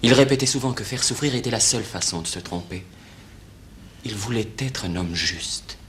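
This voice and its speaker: A devoted young Roman, played by a man, speaks in a fairly low voice with fervent, tender earnestness. It is vintage French radio theatre, recorded with a little noise. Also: moderate pace, a bit bright, natural voice. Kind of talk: mid-century French radio theatre acting.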